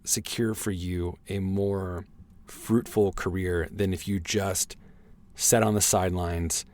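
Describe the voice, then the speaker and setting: A hoarse man hosting a solo podcast, talking in an earnest, motivational way and often adding "right?" to his points. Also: sits low, steady tone, slow, darkish tone. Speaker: podcast host.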